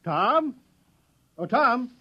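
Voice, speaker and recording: A man's voice: very high voice, very animated, drawn-out words, slightly rough, full character voice. A man playing a kindly, resigned village mayor in a 1950s radio drama. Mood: anxious calling. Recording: dull vintage radio recording.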